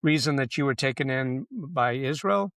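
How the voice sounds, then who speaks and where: Smooth-voiced man hosting a podcast. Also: medium pitch, expressive, normal speed, fairly bright tone, no echo. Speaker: podcast host. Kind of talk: interview hosting.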